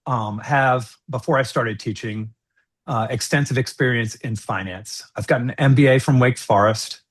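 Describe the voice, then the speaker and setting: A middle-aged man speaks earnestly on a video call, his voice at an ordinary pitch for a man. He lays out his points in measured sentences with the odd "um" and "uh.". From United States. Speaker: school board candidate. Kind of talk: forum candidate statement.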